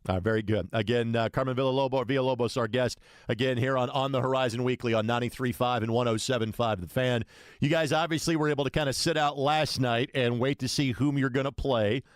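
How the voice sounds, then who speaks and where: A man's voice: medium pitch, steady tone, fast, dry recording, clean studio sound. A man hosting a sports radio show, interviewing a guest in a conversational way.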